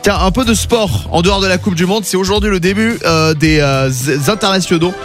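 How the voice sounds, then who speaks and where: A man's voice: high-pitched, fairly quick. A male radio host presenting a morning show, chatty and upbeat, running a quiz and announcing tracks in a sing-song way. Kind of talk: lively radio chat and quiz hosting. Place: radio studio. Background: faint music.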